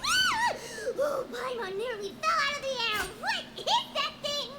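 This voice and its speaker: A tiny, excitable fairy sidekick speaks in an extremely high, childlike squeak. Her anime-game delivery swings up and down in animated exclamations, over loud background noise. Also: measured pace, heavily acted. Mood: alarmed. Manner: animated, exclaiming, speaks of self in third person. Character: small excitable childlike flying fairy companion, female, easily spooked.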